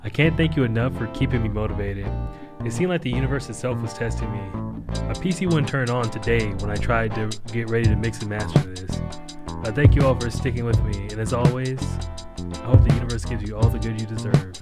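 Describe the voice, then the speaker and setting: A man's voice: mid-range voice, fairly quick. A man who makes a podcast, giving an informal, earnest sign-off to his listeners. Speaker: podcast creator. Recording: voice under loud music.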